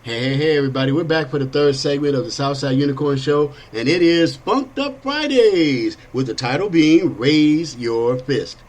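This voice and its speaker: A middle-aged man hosting a casual political talk show, rambling and animated with frequent restarts. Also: a little high, normal speed, hardly any pauses. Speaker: podcast host.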